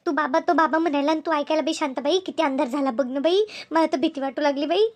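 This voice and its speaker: A woman voicing an excitable, easily frightened village woman in a cartoon comedy, with a rural Maharashtrian accent and a chattery, repetitive way of talking. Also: high-pitched, quick pace, natural voice. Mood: anxious. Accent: rural Maharashtrian Marathi.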